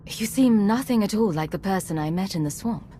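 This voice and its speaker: A woman's voice: mid-range voice, expressive, brisk, mellow, a little hiss or hum, natural voice. A woman acting a resolute, pragmatic commander in a video game, guarded, terse and controlled. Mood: guarded, dry.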